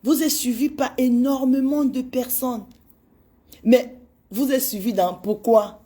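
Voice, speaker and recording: A woman's voice: on the high side, steady tone, slow pace, mellow. A woman in her forties speaking straight to her followers, pleading with passion and repeating her points for emphasis. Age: 40s. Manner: impassioned and pleading, repeats phrases. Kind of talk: direct address to followers on social media.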